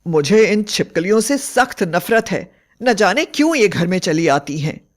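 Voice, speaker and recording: A man's voice: high-pitched, sing-song, a little slow. A man playing an irritated husband in a storybook fairy tale. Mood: annoyed.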